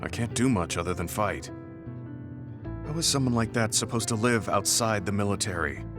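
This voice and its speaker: Man acting low-voiced weary old soldier, music behind. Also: close to an everyday voice. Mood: somber, resigned. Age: older. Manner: earnest, apologetic, measured. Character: weary, remorseful veteran male soldier, humble and self-reproachful. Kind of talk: video game English voice acting. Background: game music.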